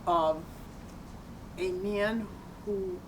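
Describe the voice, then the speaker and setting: A woman's voice: medium pitch, drawn-out words. Woman interviewer, distant and noisy. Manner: chatty, asks follow-up questions. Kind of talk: casual interview questions. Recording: distant from mic, noisy ambience.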